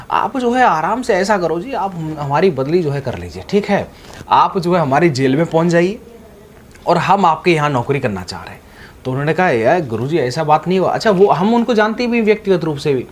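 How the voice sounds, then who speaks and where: A man giving exam guidance in an informal, repetitive, colloquial way, addressing viewers directly. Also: high voice. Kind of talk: informal explainer with sponsor plug. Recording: faint background noise.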